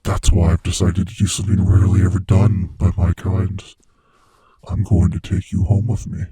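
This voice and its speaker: A man playing a sadistic, playfully menacing monster from under the bed in a horror ASMR roleplay, with a breathy, raspy voice that often sinks into whispers as he taunts the listener. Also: sits low, flat delivery, no echo. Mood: sinister, delighted. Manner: slow, taunting, savoring, hushed menace.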